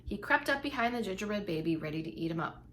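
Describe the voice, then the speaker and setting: A woman reading a picture book aloud to young children as a preschool teacher, expressive and emphatic, with little asides to her listeners. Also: medium pitch, fast talker. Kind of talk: expressive storybook read-along for young children.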